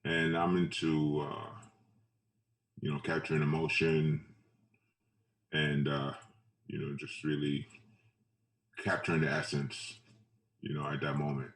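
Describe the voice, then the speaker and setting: A man from Trinidad and Tobago talks casually as an interviewed photographer, in a halting, laid-back way that repeats phrases. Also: extremely low pitch, monotone, fairly bright tone. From Trinidad and Tobago, later Brooklyn. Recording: roomy echo, distant mic.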